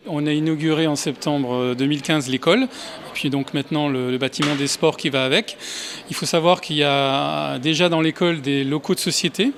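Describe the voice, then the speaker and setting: A man's voice: on the high side, steady tone, fairly quick, noisy background. A man giving spontaneous interview answers as a local official, hesitantly searching for his words.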